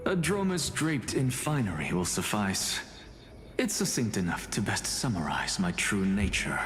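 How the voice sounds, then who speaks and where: A condescending scholar-magician from a fantasy game. His low voice moves in theatrical, sweeping pitch over faint music. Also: big pitch swings, measured pace, a bit bright.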